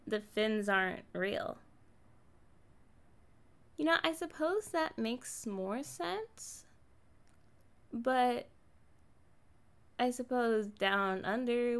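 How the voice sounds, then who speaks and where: A woman voicing a kind, naive young mermaid in an ASMR roleplay, playful and chatty as she speaks straight to the listener. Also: fairly high pitch. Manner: playful, chatty, expressive, drawn-out phrasing.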